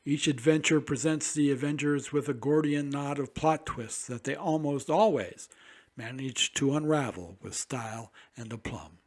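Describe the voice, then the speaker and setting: A man's voice: mid-range voice, moderate pace. A man hosting a radio show, reading a scripted introduction in a grand announcer's manner.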